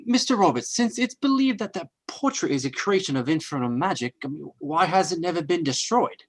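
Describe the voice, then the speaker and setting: Guy doing a polite young fiancé, bit husky, voice jumps around. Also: a little high, expressive, a little fast. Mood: earnest. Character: young fiancé, dutiful and curious. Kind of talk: old-time radio drama acting.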